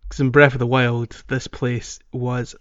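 A man talks casually as a podcast co-host. His voice sits at an average pitch, and he hesitates as he searches for words. The recording is a little dull and lo-fi. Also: flat delivery, measured pace, a bit bright, smooth. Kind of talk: casual video game discussion.

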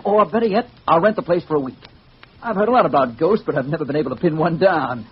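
Breezy old-radio husband, wry banter, lighter voice, muffled recording. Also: male voice, a little high, lively intonation, quick pace, slightly rough. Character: breezy, teasing, unafraid young husband. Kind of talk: 1940s radio drama acting.

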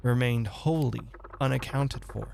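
A man giving a theatrical, suspenseful dramatic narration of a classic eerie story, with a gravelly, creaky voice. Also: mid-range voice, measured pace, noisy background. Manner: theatrical, suspenseful delivery with emphatic swells. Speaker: reader and host of a dramatic reading radio show.

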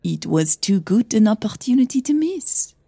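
Audio drama mother, older and wealthy: composed, frank, husky, soft-toned, played by a female narrator. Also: medium pitch, big pitch swings, moderate pace, no echo, clean studio sound, pitched above the performer's own voice, exaggerated voice. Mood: dry, composed.

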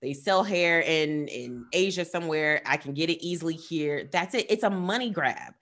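A woman's voice: low voice, normal speed, a bit bright. A woman speaking with passion and emphasis as a podcast co-host in casual discussion, with laughing asides.

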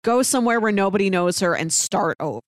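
A woman's voice: medium pitch, lively intonation, quick pace, dry recording, clean studio sound. A woman co-hosting a podcast, talking through a TV story in a casual, conversational way. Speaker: podcast co-host. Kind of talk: casual TV discussion chat.

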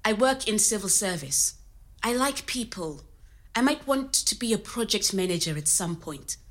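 A woman's voice: medium pitch, expressive, normal speed, a bit bright, close to an everyday voice. An actress playing a frank, creative immigrant woman, telling her story in a natural, conversational way. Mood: candid. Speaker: actor in a scripted audio story.

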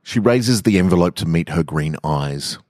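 A man hosting a podcast and reading literary short stories in a relaxed style. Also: low-pitched, moderate pace, no room sound, studio quality. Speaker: podcast host, author and reader. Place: garage, indoors.

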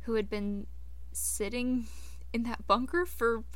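A woman's voice: fairly high pitch, steady tone, slow, no echo. A woman co-hosting a podcast, talking over a film in a casual, reflective, conversational way. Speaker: podcast co-host. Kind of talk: casual film discussion chat.

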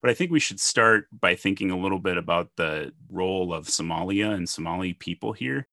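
A man from Minnesota hosting a podcast, measured as he reads a formal guest introduction and then asks questions. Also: deep voice, sharp tone, dry recording, studio quality. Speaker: podcast host.

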